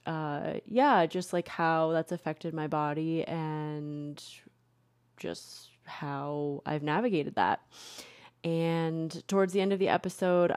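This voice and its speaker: A woman's voice: low voice, a little mellow, clear, resonant tone, dry recording. A young woman hosting her own podcast, talking casually and a bit self-deprecatingly, with lots of fillers and restarts. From Connecticut. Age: young adult. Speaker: podcast host, therapist. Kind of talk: casual solo podcast talk.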